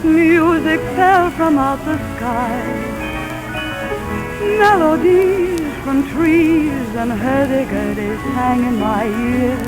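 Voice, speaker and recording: A woman's voice: high-pitched, slow, poor audio. A woman singing as featured vocalist in a 1930s radio song. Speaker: featured singer. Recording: vintage 1930s radio, loud music.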